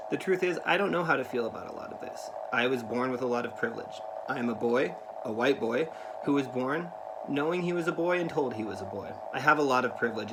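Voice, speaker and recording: A man speaking to children in an earnest, careful, deliberate way, as in a read-aloud. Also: mid-range voice, gravelly, noisy background. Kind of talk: read-aloud of a children's picture book with spoken introduction.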